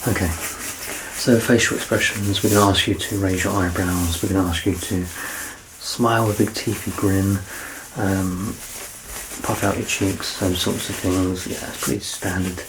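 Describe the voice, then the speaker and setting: A man in his thirties speaks in a deep, softly creaking voice, gently guiding a patient in an ASMR medical roleplay. He is reassuring and self-deprecating, often dropping near a whisper. There is clear background noise behind him. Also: fairly even intonation, a little slow. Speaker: ASMR roleplayer playing a doctor. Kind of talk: soft-spoken ASMR medical exam roleplay.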